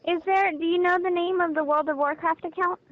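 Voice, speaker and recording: High-pitched woman on a dull phone line. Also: steady tone, fairly quick. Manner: polite, patient. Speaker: customer support agent. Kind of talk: customer service phone call. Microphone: telephone.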